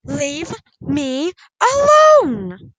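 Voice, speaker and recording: A woman's voice: a little high, slow pace, no echo. A woman voicing an emotional, defiant schoolgirl witch in a storybook, speaking in tearful outbursts. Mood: furious yelling.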